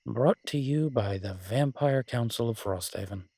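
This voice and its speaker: Romantic audio roleplay: werewolf boyfriend voiced by a man, husky and lowish, teasing yet devoted, conversational, very dry sound. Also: mellow, dry recording. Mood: amused, gossipy. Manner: tender, teasing, halting conversational delivery. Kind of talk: romantic roleplay audio, intimate one-sided dialogue.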